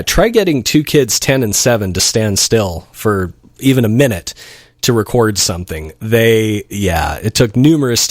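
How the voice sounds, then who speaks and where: A man's voice: lowish voice, dry recording, studio quality. A man hosting an internet radio show, chatting casually and informally between songs with some self-deprecating humor.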